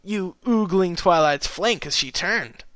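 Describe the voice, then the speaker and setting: Man voicing a brash, high-pitched teasing girl pony. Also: unhurried, fairly bright tone, no echo. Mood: smug teasing. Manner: teasing, casual, exclamatory. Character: brash teasing young female pegasus pony, impish.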